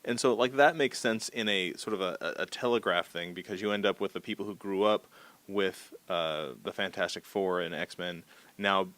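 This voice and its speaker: Low-voiced male interviewer, casual. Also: moderate pace, fairly bright tone, no echo.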